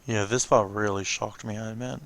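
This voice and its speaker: A man's voice: fairly low pitch, fairly even intonation, a little fast, creaky, fairly bright tone. A man hosting a watch-along video, giving casual reaction commentary. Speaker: host of a let's watch video.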